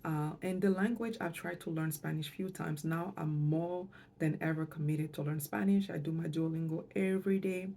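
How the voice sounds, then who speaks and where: Casting: middle-aged female vlogger, mother tongue, deep warm voice, hesitant and reflective delivery. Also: fairly even intonation, normal speed, few pauses. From Canada, moved there with parents, lived in Montreal. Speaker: financial coach and vlogger. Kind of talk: personal vlog talk to camera.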